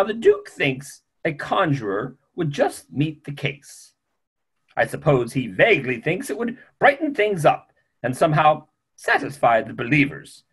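A man's voice: fairly high pitch, breathy edge, heavily acted. A man in his fifties playing a grumpy, opinionated older family doctor in a theatrical play reading, with a dry, emphatic manner. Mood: exasperated explaining. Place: small home room on a video call.

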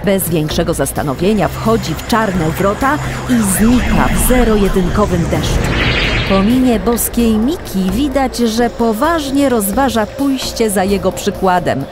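A woman's voice: mid-range voice, lively intonation, a little slow. A woman reading dramatic scripted narration for a children's audio drama. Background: loud music.

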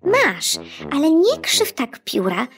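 A woman voicing a helpful young schoolgirl in a children's audiobook, speaking softly and gently cautioning. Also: sits high, huge pitch swings, takes it slowly, vocal fry, faint music behind, pitched above the performer's own voice, exaggerated voice.

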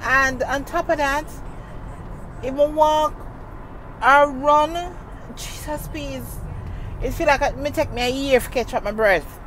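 A woman's voice: high voice, takes it slowly, pauses often, a bit bright, rough recording. Emphatic woman vlogging in a car. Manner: emphatic, repetitive, earnest. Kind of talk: casual talk to camera. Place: inside a car, daytime. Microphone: wired earphone mic, phone held close. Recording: car and street noise.

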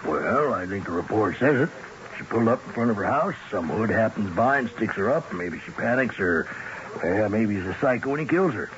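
An actor playing an easygoing, brusque police captain in a 1970s radio drama, casually questioning. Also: male voice, on the low side, lively intonation, a little fast, lightly acted. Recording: dull, noisy old radio broadcast.

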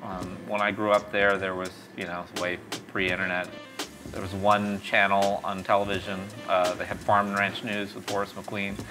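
A man's voice: lowish voice, flat delivery, normal speed, music in the background, low-quality recording. A middle-aged man from East Texas, born in Mexico, talking in a casual interview in a small café, rambling with many fillers and restarts. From born in Mexico, raised in East Texas. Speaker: startup founder and CEO, interview guest. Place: small café by a street window.

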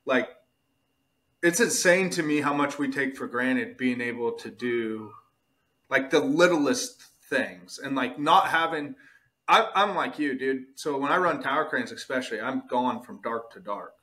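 A man's voice: medium pitch, animated, unhurried, bright, forceful tone. Earnest, emphatic man hosting a podcast. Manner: earnest, emphatic, repeats points, slangy with "bro" and "dude". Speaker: podcast host. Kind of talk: casual motivational podcast talk.

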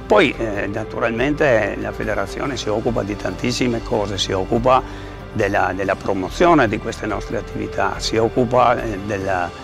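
A man explains things to camera in a halting way, repeating words as he searches for the next. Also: on the low side, vocal fry, music in the background. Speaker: president of a regional caving federation. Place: outdoors by a rock face.

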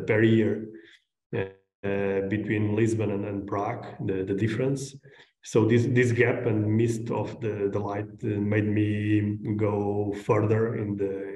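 A man in his forties with a Portuguese accent, giving an informal online lecture in a halting, hesitant way. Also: lowish voice, warm tone. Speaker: guest lecturer, architect. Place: indoors on a video call, roomy sound. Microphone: video call at desk distance. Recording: roomy, echoing video-call sound.

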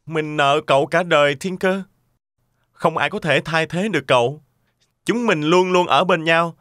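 A brave boy adventurer from a children's cartoon, pitched high and ringing bright. The delivery is earnest and declamatory, recorded close and dry. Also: a little slow, smooth, no room sound. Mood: firm, earnest. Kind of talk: dubbed children's cartoon acting.

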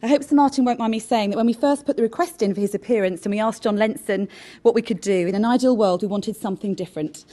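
A woman in her thirties giving a brisk, formal welcome speech at a lectern on a conference stage. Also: fairly high pitch, mellow. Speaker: event chair introducing a session. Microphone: lectern mic.

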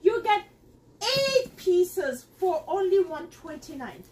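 Middle-aged woman, very high voice, excitable home-kitchen demo host, slightly off-mic. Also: takes it slowly, recorded from a distance. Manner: chatty and excitable, talks straight to viewers. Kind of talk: live cooking demonstration. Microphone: camera across the counter.

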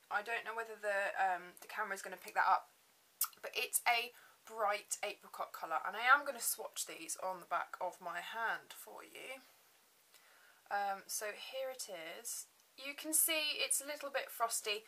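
Bright, chatty young woman with a gravelly voice. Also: a little high. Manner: chatty, filler-heavy, upbeat. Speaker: beauty vlogger. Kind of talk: casual chat to camera. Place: bedroom, indoors.

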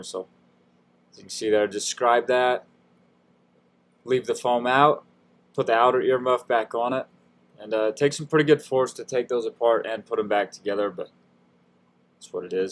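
A man with a fairly low voice talks through a hands-on tutorial as a voice-over. His delivery is informal and folksy, with a self-deprecating, easygoing way of explaining each step. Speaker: DIY tutorial host. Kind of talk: casual how-to voice-over narration.

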